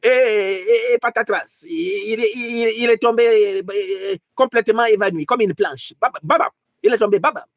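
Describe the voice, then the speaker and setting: A man's voice: high-pitched, normal speed, dry recording, studio quality, pitched above the performer's own voice. A man playing an anxious, superstitious ruler desperate to keep power in a satirical skit, stammering and repeating words. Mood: alarmed, stammering.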